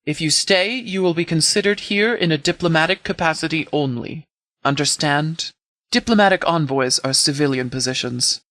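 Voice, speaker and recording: A man voicing a stern, authoritative sheriff in a video game, speaking in a measured, commanding, formal way. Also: a little high, fairly bright tone, no room sound, natural voice. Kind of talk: scripted game dialogue.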